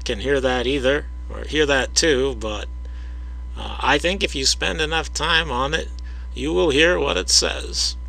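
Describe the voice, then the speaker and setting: A man narrates his own video with a husky edge to his voice. His tone is bright and pushed forward. He explains at a careful, measured pace, hesitates often, and speaks directly to the viewer. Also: mid-range voice, poor audio. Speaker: video creator explaining audio analysis.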